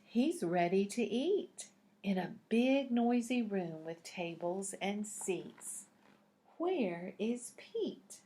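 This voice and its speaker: Slow-paced woman reading a children's storybook. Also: mid-range voice, mellow. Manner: asks listeners questions, teacherly. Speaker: picture book read-aloud narrator. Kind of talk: storybook read-aloud for young children.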